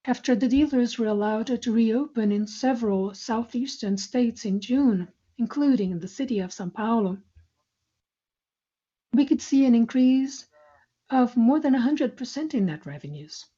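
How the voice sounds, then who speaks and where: A woman reading out a corporate financial report in a formal, measured, scripted style. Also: a little high, lively intonation, mellow, husky. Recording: muffled, lo-fi conference call audio.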